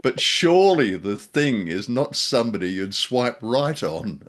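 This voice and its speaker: A man's voice: mid-range voice, sing-song, measured pace. A middle-aged man co-hosting a casual review chat with jocular, dry humour. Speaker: podcast co-host.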